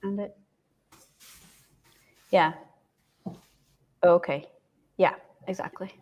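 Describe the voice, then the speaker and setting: A woman's voice: fairly low pitch, fairly even intonation, very slow, muffled recording. Chatty woman co-hosting on a video call. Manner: chatty, with restarts. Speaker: co-host. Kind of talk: casual live-stream chat. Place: home room, indoors.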